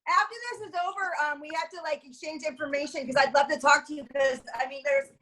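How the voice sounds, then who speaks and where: Co-host, woman, 50s, bubbly and quick to laugh, high voice, video call. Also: fairly even intonation, a bit bright. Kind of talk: casual video call chat. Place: home room with bookshelves.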